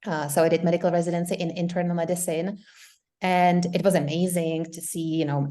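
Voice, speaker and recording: A woman's voice: on the low side, a little mellow. A middle-aged woman from the Czech Republic with a Czech accent, a doctor explaining her practice earnestly in an interview. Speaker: guest doctor. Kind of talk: interview conversation.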